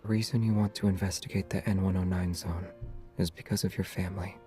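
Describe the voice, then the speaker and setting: An actor playing a calm, reserved young man, a secretive hunter, with an unhurried, nonchalant manner in scripted game dialogue. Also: deep voice, faint music behind, natural voice. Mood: calm, guarded.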